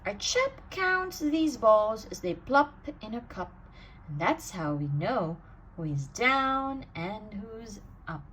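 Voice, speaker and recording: A woman reading a rhyming bedtime storybook aloud in an expressive, sing-song way. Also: mid-range voice, slow, a little hiss or hum, rough recording. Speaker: storybook reader.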